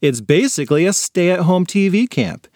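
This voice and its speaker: Guy doing a lazy cheeky kid, a bit whiny, lively voice. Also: sits high, moderate pace, dry recording, clean studio sound, voice pushed up. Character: lazy TV-loving boy, cheeky.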